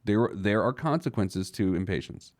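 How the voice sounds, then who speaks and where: A man's voice: on the low side, lively intonation, moderate pace, a little mellow, no room sound, studio quality. A man hosting a personal finance show, giving conversational advice in an emphatic manner with rhetorical questions and joking asides.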